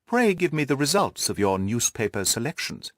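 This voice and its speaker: A man voicing a keen, brisk, amused gentleman detective in an audiobook, with a crisp, inquisitive manner. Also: medium pitch, big pitch swings, dry recording, studio quality. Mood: wry, brisk. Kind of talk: audiobook character voice.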